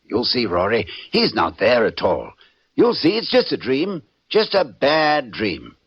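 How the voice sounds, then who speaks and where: An actor playing a troubled, darkly wry husband in an old-time radio drama, confessing in a theatrical, halting way. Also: male voice, mid-range voice, wildly swooping pitch, breathy edge, heavily acted. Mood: agitated, insistent. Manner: theatrical, halting with repeated words. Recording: dull vintage radio recording with music.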